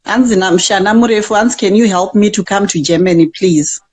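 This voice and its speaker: Call-in host, mid pitch, short prompts and brief acknowledgements. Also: a little fast, breathy edge. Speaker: radio-style show host. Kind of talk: call-in chat.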